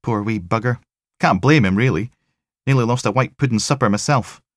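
Man voicing a wry Scottish woman technician, higher pitch. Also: a little fast, dry recording, clean studio sound, lightly acted. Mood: wry sympathy. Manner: wry, matter-of-fact. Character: short, wry, blunt female forensic technician.

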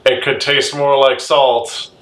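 Slacker dude played by a woman, dropped low, laconic and unbothered, improvised radio comedy in a roomy space. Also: level tone, slow pace, distant mic. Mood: laid-back. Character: Dan, laid-back dim dude proud of his scarf. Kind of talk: improvised comic radio play. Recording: roomy, faintly noisy recording.

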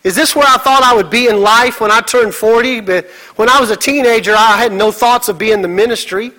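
A man's voice: high-pitched, a little fast, pushed and bright, poor audio. A middle-aged man preaching a sermon as a pastor, emphatic, with repeated exclamations and rhetorical questions.

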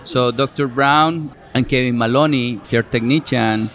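A man's voice: medium pitch, a little slow, fairly bright tone. A middle-aged man from Chile with a Chilean Spanish accent, a fruit specialist explaining his work in an interview with frequent fillers and restarts. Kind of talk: relaxed interview answers. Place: outdoor farm show event.

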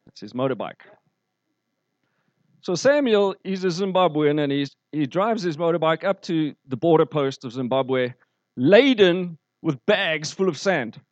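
Male pastor, slightly high voice, storytelling sermon, muffled, dry recording. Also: no room sound. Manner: storytelling preacher, asks the congregation questions.

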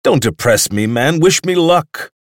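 A man's voice: fairly high pitch, animated, measured pace, no room sound, clean studio sound, voice pushed up. A man voicing a sour, peevish male clubman of about fifty, sardonic and lazy.

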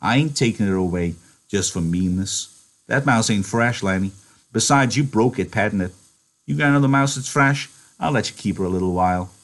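A man voicing a short-tempered, worn-out ranch hand who scolds gruffly and flares into shouting. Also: fairly low pitch, animated, brisk. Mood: scolding.

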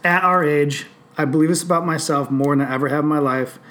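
A man in his late thirties talking casually on a podcast, animated and swearing freely as he tells stories. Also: on the high side, fast talker. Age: 30s. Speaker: podcast host.

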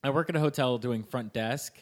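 A man's voice: mid-range voice, a little fast. A young man from California, a guest on a podcast, telling stories in a rambling way and saying "like" constantly. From Sacramento, California, US. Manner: rambling storytelling, heavy use of "like". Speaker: guest, improv performer and writer. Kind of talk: casual podcast chat.

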